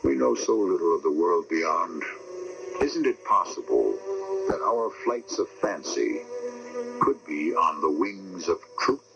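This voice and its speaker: A man's voice: low-pitched, big pitch swings, unhurried, music in the background. A man hosting a radio mystery show with theatrical, ominous, portentous narration, heard on a dull AM broadcast. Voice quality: gravelly. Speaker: host of radio mystery anthology.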